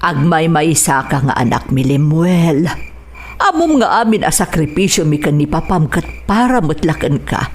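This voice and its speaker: Worried Ilocano mother, acted by a woman, low-voiced. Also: moderate pace, a little hiss or hum, lightly acted. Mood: pleading. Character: worried, pleading mother of a grown son. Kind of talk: Ilocano radio drama acting.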